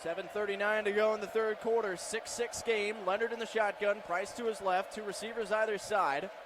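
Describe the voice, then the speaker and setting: High-pitched man calling football play-by-play. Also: fairly even intonation, fairly quick, a crowd in the background. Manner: clipped present-tense narration of action. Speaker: radio football play-by-play announcer. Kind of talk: live play-by-play sports commentary. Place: football stadium broadcast position. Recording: faint stadium background noise.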